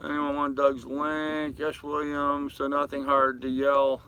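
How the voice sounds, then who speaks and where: Older man with a very bright, forceful voice. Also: a little high, completely flat delivery, a little slow, clean tone, rough recording. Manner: rambling, addresses viewers familiarly as brother and man. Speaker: live-stream host. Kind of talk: casual live chat, reading and answering viewer comments. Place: aboard a boat at anchor.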